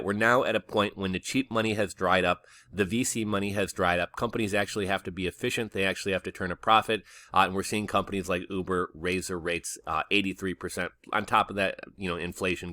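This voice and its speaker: A man with a fairly low voice and a bright, forceful edge. He delivers casual news commentary as a host, conversational and opinionated with a skeptical bent. The sound is dry and close. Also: no echo.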